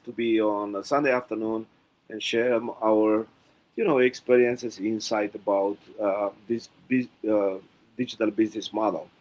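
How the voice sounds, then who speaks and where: A middle-aged man talks on a live video call. He is courteous and hesitant, pausing often on "uh". His voice sits in an ordinary middle range for a man. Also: mid-range voice, poor audio. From Romania. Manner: halting, polite, many "uh"s. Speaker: panel guest. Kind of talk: livestream panel chat.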